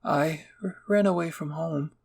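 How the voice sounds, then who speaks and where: A man's voice: fairly high pitch, unhurried, vocal fry, a little mellow, a little hiss or hum, pitched above the performer's own voice. A man voicing a nervous young runaway in an anime fan roleplay, shy and hesitant with a stammer.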